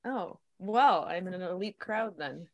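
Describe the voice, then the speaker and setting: A woman in her forties, chatting in a relaxed conversation, laughing easily and making quick asides. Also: lowish voice. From New Jersey/New York, USA. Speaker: podcast host and guest. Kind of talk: casual conversation.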